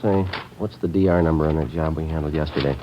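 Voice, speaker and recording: An actor playing a stoic police detective, narrating and talking in a clipped, matter-of-fact way, in a 1950s radio drama. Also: male voice, a bass voice, fairly even intonation, fast talker, background noise. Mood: weary. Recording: dull old radio broadcast with music.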